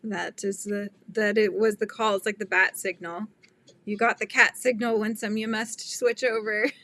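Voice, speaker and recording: A woman's voice: medium pitch, flat delivery, moderate pace, a bit bright, no echo. A middle-aged woman hosting a casual crochet livestream, chatting and laughing as she reads viewer comments. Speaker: livestream host. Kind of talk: casual chat while crocheting.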